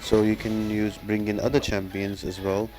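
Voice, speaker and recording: A man's voice: lowish voice, level tone, normal speed, music in the background, rough recording. A man giving casual, instructional commentary as he explains a gameplay walkthrough step by step. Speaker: game guide video host.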